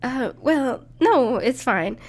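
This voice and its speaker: A woman playing a gentle, bashful dragon in human form who loves cuddly things, speaking tenderly and reassuringly in an intimate audio roleplay. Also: sits high, lively intonation, takes it slowly, exaggerated voice. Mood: caring. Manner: tender, reassuring, playful, slightly hesitant. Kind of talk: soft-spoken intimate audio roleplay to the listener.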